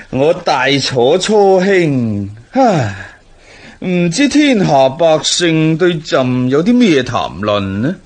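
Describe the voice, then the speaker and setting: Pompous, slow-spoken usurper emperor. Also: male voice, a little high, big pitch swings, exaggerated voice. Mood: jovial, smug. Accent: Hong Kong Cantonese. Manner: pompous, drawn-out. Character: self-satisfied usurper emperor of ancient China. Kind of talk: historical radio drama acting.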